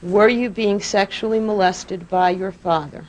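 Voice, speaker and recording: Female courtroom lawyer, medium pitch, probing and precise examination. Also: fairly even intonation, a little slow, poor audio. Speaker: lawyer questioning a witness.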